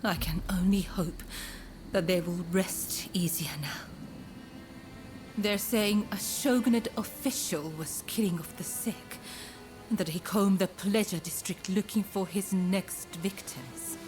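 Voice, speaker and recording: An actress playing a gentle, courteous woman of a Japanese pleasure district in a video game, speaking softly and formally in measured, breathy phrases. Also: medium pitch, natural voice, rough recording. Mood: somber, earnest. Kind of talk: scripted dramatic game dialogue. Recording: music behind the voice.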